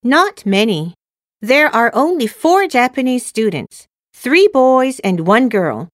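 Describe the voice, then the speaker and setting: A woman's voice: fairly high pitch, very animated, slow pace, no room sound, clean studio sound. A woman acting a cheerful, eager student girl in a scripted listening-test dialogue, enunciating carefully like a textbook.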